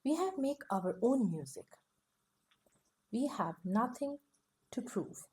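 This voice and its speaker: A woman gently reading a bedtime picture book aloud, soft and soothing with a sing-song rhythm. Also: a little high, sing-song, slow pace. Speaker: storyteller.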